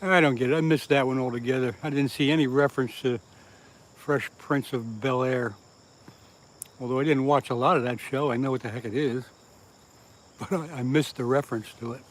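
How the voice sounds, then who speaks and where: A man's voice: mid-range voice, fairly even intonation, a little fast. A man from southeast Pennsylvania chatting on a casual fishing livestream, reading viewer comments aloud and laughing at his own jokes. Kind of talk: casual live-stream chat with viewers. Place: outdoors by the water.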